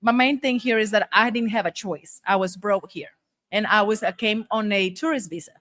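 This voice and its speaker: A woman's voice: medium pitch, normal speed, a bit bright. A middle-aged woman from Monterrey, Mexico, a native Spanish speaker, telling her story casually and emphatically as an interview guest. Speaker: guest, taco shop owner.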